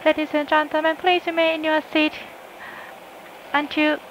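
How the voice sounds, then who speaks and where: A woman's voice: high voice, monotone, slow pace, fairly bright tone, low-quality recording. A woman making a formal inflight announcement as a flight attendant over a cabin PA. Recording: cabin PA with faint noise. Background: cabin noise.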